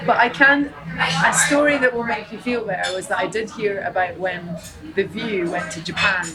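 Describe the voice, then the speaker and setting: Chatty Scottish woman over loud crowd. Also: mid-range voice, low-quality recording. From Scotland (St Andrews). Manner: chatty and animated, quick to laugh. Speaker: musician guest. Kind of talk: casual chat. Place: busy public spot in Los Angeles.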